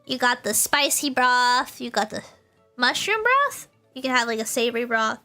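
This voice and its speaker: A woman's voice: fairly high pitch, expressive, normal speed, bright, forceful tone, soft background music. A woman livestreamer chatting casually to her viewers in a bubbly, giggly way, often repeating her phrases. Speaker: VTuber livestreamer.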